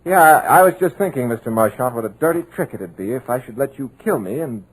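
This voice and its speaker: An actor playing a wry, defiant trapped writer in a 1940s radio horror drama, sardonic and at times hesitant. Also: male voice, medium pitch, expressive, fast, husky. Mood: sardonic bravado. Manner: sardonic, hesitant, then taunting. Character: wry, defiant trapped male writer, nervy but bold. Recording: muffled old-time radio recording.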